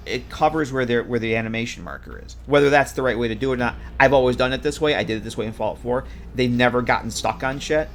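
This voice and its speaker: A tired, congested man streaming live, casually thinking aloud as he works and correcting himself mid-sentence. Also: medium pitch. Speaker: modding streamer. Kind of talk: casual live-stream commentary while working.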